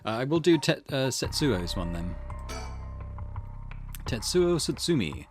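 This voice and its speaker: A man's voice: medium pitch, very animated, measured pace, faint music behind. A man hosting a gaming playthrough, reading visual novel narration aloud with casual asides. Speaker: gaming channel host.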